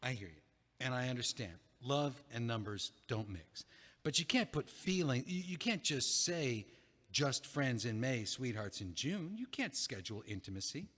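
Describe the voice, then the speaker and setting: A man's voice: medium pitch, animated, a bit bright. Man acting an earnest, flustered guy, echoey space. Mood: earnest, insistent. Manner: earnest, halting, easily flustered. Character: earnest, flustered young man, law-trained, loyal friend. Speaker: actor in an audio play. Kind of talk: naturalistic comedic radio-play dialogue. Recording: echoey hallway-like reverb.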